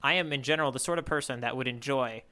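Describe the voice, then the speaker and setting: A man's voice: mid-range voice, fast talker, bright, forceful tone. A man co-hosting a podcast, chatting casually and thinking aloud in a tentative way. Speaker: podcast co-host. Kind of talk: casual chat about a manga chapter.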